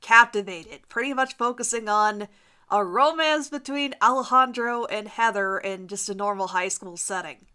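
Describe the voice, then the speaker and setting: A woman's voice: medium pitch, moderate pace, bright, forceful tone, clean tone. A woman casually introducing a dramatic reading of her own writing, sarcastic and self-mocking. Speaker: fan fiction author and reader.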